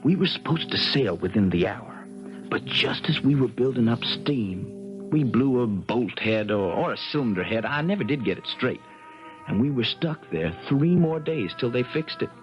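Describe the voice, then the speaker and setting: An actor as an easygoing, kindly fellow spinning a yarn with lively pitch. His talk is folksy and colloquial, in an old radio drama with a dull, lo-fi sound. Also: moderate pace, soft background music, lightly acted. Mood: reminiscing. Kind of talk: 1970s radio drama acting and narration. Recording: dull 1970s radio broadcast.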